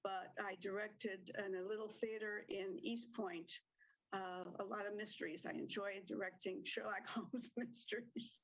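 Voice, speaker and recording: A woman's voice: mid-range voice, fairly even intonation, a little slow, rough recording. An older woman who directs community theatre, answering interview questions in a chatty way with ums and small laughs.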